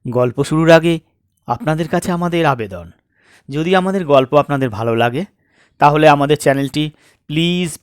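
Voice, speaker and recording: A man narrating a scripted comic story in a dramatic, theatrical way with suspenseful pauses. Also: a little high, no room sound, studio quality. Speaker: storyteller and channel host.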